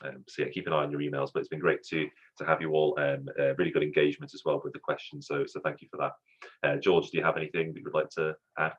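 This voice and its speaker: A man's voice: very deep voice, flat delivery, fast, breathy edge, highs cut off. A man hosting an online student society event, friendly and hesitant, with many ums and restarts.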